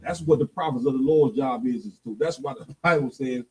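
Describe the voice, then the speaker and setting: A man's voice: mid-range voice, fairly quick, a little hiss or hum, poor audio. A man hosting a streamed talk show, preaching emphatically and prompting the others with rhetorical questions. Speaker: podcast host leading a religious discussion.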